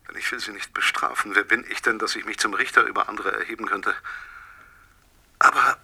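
An actor in a German radio play playing a brooding, coldly obsessive middle-aged husband who speaks with deliberate, quiet menace. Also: male voice, on the low side, fairly even intonation, creaky, slightly rough, heavily acted. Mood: coldly controlled. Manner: deliberate, insistent, drops into whispers.

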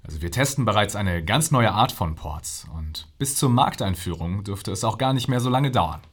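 An earnest male scientist with a low, husky voice, presenting his vision in an audio drama interview. He speaks deliberately, builds rhetorical questions and leans on emphatic pauses. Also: animated, fairly bright tone. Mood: persuasive, visionary.

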